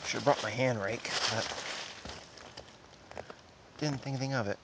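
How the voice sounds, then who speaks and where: Very noisy outdoor camera audio carries a middle-aged man as he works at a dig in the woods. His pitch is average for a man. He talks casually in short, clipped fragments, commenting as he goes, at changing distances from the camera. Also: a little slow, vocal fry. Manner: terse, fragmented remarks while physically working. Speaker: amateur bottle digger. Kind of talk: casual running commentary while digging. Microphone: handheld or propped camera at varying distance.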